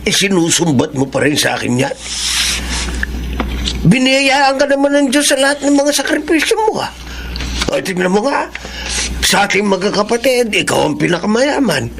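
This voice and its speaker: An actor playing a folksy, teasing, defensive brother in a radio drama, with a gravelly edge to his voice. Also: high voice, big pitch swings, moderate pace, long pauses, noisy background, heavily acted. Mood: exasperated. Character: easygoing, defensive married brother of a rich woman. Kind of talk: Tagalog radio drama acting.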